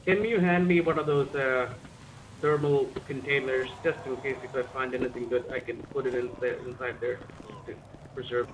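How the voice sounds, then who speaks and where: A man's voice: medium pitch, fairly even intonation, normal speed. A man playing a medic in casual, improvised game roleplay, muttering commentary as he examines and swearing now and then. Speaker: roleplay player acting as a medic. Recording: noisy game voice chat.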